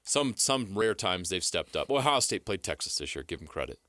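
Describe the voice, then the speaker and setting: A man's voice: low voice, sing-song, a little fast, sharp tone, no echo. An American man hosting a sports talk show, discussing and arguing with emphasis and rhetorical questions.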